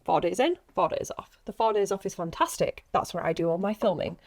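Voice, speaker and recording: Woman chatting in a medium-pitched voice. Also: animated, brisk, darkish tone. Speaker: actor and model in conversation. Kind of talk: casual interview chat.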